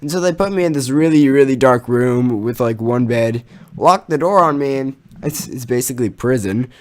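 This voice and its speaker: A young man telling a casual personal story as a YouTuber, in an animated, exaggerating manner with slang and swearing. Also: mid-range voice, moderate pace.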